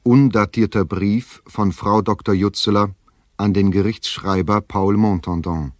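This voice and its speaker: Male narrator for radio play: low voice, close dry sound, scripted document headings. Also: steady tone, a little slow, dry recording. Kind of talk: scripted document announcement in audio drama.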